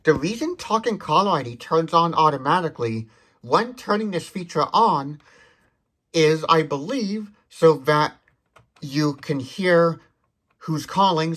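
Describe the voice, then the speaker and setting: A man's voice: a little high, fairly bright tone. A man hosting a tech demonstration, explaining details methodically. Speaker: tech demonstrator, video host.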